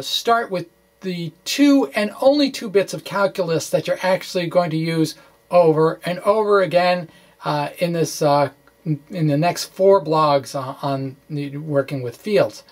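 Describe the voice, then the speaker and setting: Guy with a fairly high voice walking thru physics math, chatty, kinda halting, stops and starts. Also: gravelly. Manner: conversational, chatty asides. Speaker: physics explainer. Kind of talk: informal science lecture.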